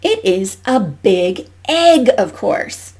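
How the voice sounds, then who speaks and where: A woman's voice: medium pitch, big pitch swings, slow pace, exaggerated voice. Woman reading as a snappy, defensive storybook goose. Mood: dismissive. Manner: loud, emphatic read-aloud.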